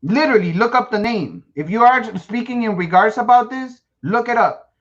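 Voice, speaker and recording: A man's voice: high-pitched, lively intonation, moderate pace, fairly bright tone. A man from Guatemala chats with his livestream viewers in a loose, boastful, joking way. He restarts his sentences and trips over words as he goes. The audio is a little dull and muffled. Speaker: survival-prep livestream host. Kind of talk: casual live-stream chat to viewers.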